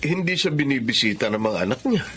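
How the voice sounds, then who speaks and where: A man's voice: medium pitch, lively intonation, fast talker, a bit bright, a little hiss or hum, lightly acted. An actor with a Filipino accent playing a polite, considerate young working father in a radio drama. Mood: curious, earnest.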